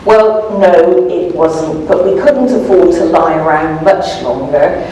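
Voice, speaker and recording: A woman's voice: fairly low pitch, takes it slowly, warm tone. A woman author giving a live comic reading from a novel with a wry, amused manner. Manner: wry, amused, often chuckling. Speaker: author reading aloud from their own novel. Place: indoor live event room. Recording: echoey room, distant mic, background noise.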